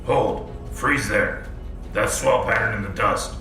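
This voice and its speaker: A man playing a gruff, wary soldier in a sci-fi audio drama, speaking in terse, clipped phrases with a gravelly voice. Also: fairly low pitch, takes it slowly, sharp tone, exaggerated voice. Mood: tense awe. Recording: distant, echoing, music underneath.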